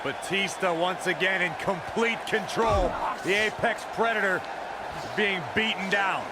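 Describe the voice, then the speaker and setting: A man's voice: high-pitched, a little slow. A man playing a blunt wrestling color commentator with colorful, punchy delivery in a video game. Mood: blunt, excited. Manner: blunt, colorful turns of phrase. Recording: loud arena crowd noise behind. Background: roaring wrestling crowd.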